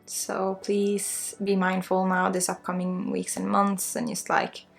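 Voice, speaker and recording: Creaky-voiced Swedish woman, ASMR healer. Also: medium pitch, monotone, measured pace. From Sweden. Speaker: spiritual healer and guide. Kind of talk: ASMR guided energy healing. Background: music.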